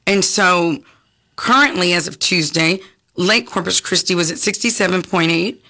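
A woman with a raspy, hoarse voice, a guest chatting casually on a radio talk show, clearing her throat often and restarting her sentences. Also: fairly low pitch, normal speed, pushed and bright. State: hoarse from talking a lot. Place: radio studio.

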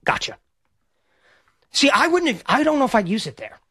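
High-voiced, excitable radio sports man. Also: normal speed, husky, no echo, clean studio sound. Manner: excitable, rambling, stammers and repeats himself. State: slightly hoarse. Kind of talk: live game reaction talk. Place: radio studio.

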